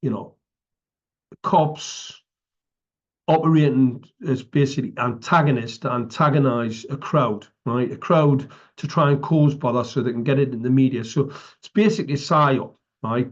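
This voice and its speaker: A man's voice: mid-range voice, moderate pace. A man with a British accent giving an impromptu public address as an activist, emphatic and preaching, often checking in with short tags. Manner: emphatic, preaching, tags statements with "right" and "okay". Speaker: activist speaker. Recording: dull lo-fi audio.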